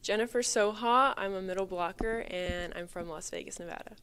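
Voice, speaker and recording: A woman's voice: medium pitch, brisk, noisy background. A young woman who plays college volleyball, giving a short, matter-of-fact introduction of herself. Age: young adult.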